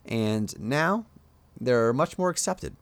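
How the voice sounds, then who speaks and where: A man's voice: medium pitch, expressive, slow, no echo. A man with a Pennsylvania Dutch accent, talking casually to his viewers as a YouTuber, rambling with lots of fillers while giving his opinions. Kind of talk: casual live-stream commentary.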